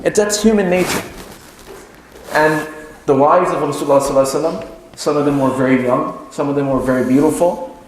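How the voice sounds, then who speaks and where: A man giving an Islamic religious lecture, explaining haltingly and repeating key phrases for emphasis. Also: a little high. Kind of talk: sermon-like lecture retelling and explaining a religious story. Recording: very echoey room with faint noise.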